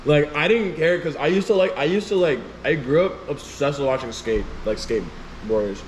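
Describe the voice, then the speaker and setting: A young man from Chicago, a musician guest, chatting casually in an interview. He gets animated, laughs often and leans on "like" a lot. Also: a little high, normal speed, slight background noise, low-quality recording. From Chicago, United States.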